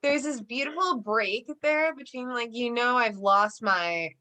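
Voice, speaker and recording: A woman's voice: fairly high pitch, unhurried, a loud crowd. A woman poet talking casually and informally at a poetry gathering, with some swearing. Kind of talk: casual chat.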